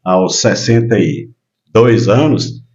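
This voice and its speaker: A man in his sixties from Minas Gerais, a guest telling his life story in relaxed, casual chat with frequent hesitations. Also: fairly low pitch, slow pace, dull audio. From Minas Gerais, Brazil. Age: 60s. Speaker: guest, local businessman.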